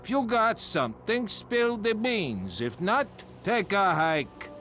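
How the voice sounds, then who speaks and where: A man's voice: high voice, sing-song, slow pace, sharp tone, soft background music, heavily acted. A man playing a curt, impatient male wasteland trader in a video game, brusque in manner.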